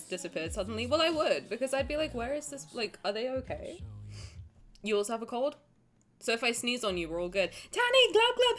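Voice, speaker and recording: A young woman streamer chatting with viewers, rambling and swearing casually, a bit nasal from a cold. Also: a little high. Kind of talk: casual stream chat reading viewer messages.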